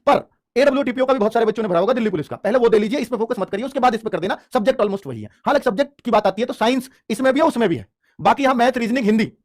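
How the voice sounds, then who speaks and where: A man's voice: extremely high pitch, expressive, very fast. A man giving an informal explainer lesson as a coaching teacher, emphatic and repetitive, often checking in with his students.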